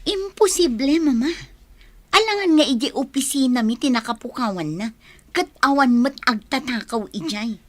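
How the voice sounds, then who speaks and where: Insistent grown daughter, female actor, Filipino accent, high register, close dry radio drama sound. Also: lively intonation, normal speed, dry recording. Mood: upset, insistent. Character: insistent, upset young adult daughter.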